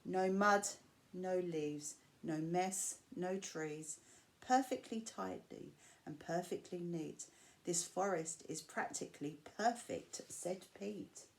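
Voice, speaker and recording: A woman reading a rhyming picture book aloud to children, with measured, expressive phrasing and drawn-out key words. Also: fairly low pitch. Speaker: storyteller reading to school pupils. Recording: clear background noise.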